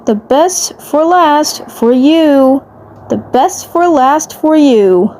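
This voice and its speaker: A high cartoon creature's voice, acted out by a woman, friendly on the surface and creepy underneath. It coaxes slowly, drawing out its words. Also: expressive, warm tone, a little hiss or hum, full character voice. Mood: cheerful, coaxing.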